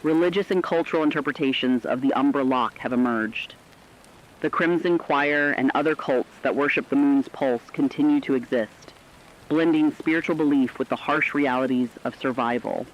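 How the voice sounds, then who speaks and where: Sober apocalypse-radio reporter, played by a man with a mid-range voice, measured and earnest, slightly lo-fi sound. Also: mid-range voice, natural voice. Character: sober male broadcaster reporting on survivors after catastrophe. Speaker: radio announcer. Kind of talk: scripted fictional radio broadcast narration.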